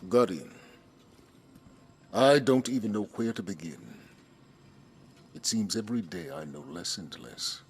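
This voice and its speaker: A man's voice: on the low side, lively intonation, measured pace, pauses often, slight background noise. A man playing a weary, humble military mentor in a video game, talking in a reflective, conversational way. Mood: troubled, reflective. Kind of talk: video game dialogue acting.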